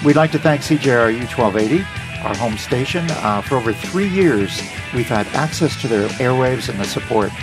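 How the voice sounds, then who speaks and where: A man hosting a radio talk show, wrapping up with plugs and thanks in a wry, brisk way. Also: medium pitch, expressive, over music. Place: radio studio.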